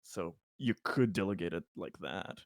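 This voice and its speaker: A man playing in a tabletop game, suggesting plans in a lively, chuckling way. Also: deep voice, moderate pace, no echo, clean studio sound. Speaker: player. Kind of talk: casual game chat.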